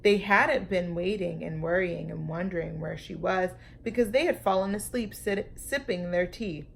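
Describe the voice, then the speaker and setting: A woman reading a children's story aloud with expressive, deliberate phrasing and dramatic emphasis. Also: on the low side, vocal fry, slight background noise. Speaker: storytime reader.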